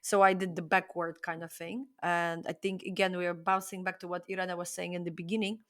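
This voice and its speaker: A woman with a slightly low voice talks through her thoughts in a relaxed podcast chat. She is reflective and stops now and then to find the right word. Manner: reflective, thinks aloud with pauses. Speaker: podcast co-host. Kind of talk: casual conversation.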